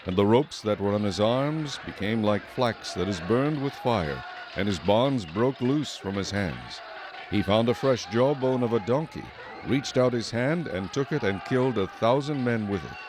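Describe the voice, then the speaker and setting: A man's voice: fairly low pitch, lively intonation, normal speed, noisy background. A man narrating scripture as a scripted audio drama narrator.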